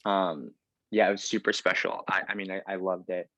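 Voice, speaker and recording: A man's voice: low-pitched, flat delivery, normal speed, vocal fry, pushed and bright, no echo. A man in his thirties from the United States, talking casually as a podcast guest with lots of fillers and restarts. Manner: chatty, many fillers and restarts. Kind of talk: casual interview conversation.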